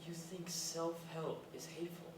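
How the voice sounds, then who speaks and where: An actor in a staged play reading, playing an earnest, hesitant, reflective young dropout in naturalistic dialogue. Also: male voice, a little high, completely flat delivery, measured pace, close to an everyday voice. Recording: echoey, distant, noisy live stage recording.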